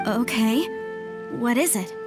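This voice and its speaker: A woman's voice: fairly high pitch, takes it slowly, over music. A woman playing an earnest, stubborn and principled archaeologist in a video game, speaking emphatically. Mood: earnest, insistent.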